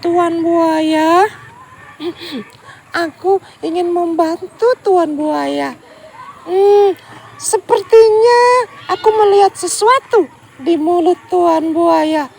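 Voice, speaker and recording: A brave, kind little grasshopper from a children's tale, voiced by a woman with a very high voice. She coaxes sweetly and slowly. A faint hiss sits underneath. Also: vocal fry, darkish tone, full character voice, rough recording. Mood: caring.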